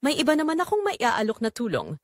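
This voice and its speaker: A woman's voice: high voice, wildly swooping pitch, brisk, dry recording, voice pushed up, full character voice. A woman voicing a possessive, manipulative older mother in an audio drama, insistent and calculating. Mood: coaxing.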